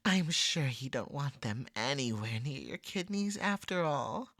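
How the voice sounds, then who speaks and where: Clingy, jealous cat person played by a woman for ASMR roleplay. Husky, unhurried, exasperated wit, close dry sound. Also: rumbling low, big pitch swings, fairly bright tone, no echo, heavily acted. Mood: devoted, wheedling. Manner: wry, exasperated, wheedling. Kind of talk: scripted ASMR roleplay acting.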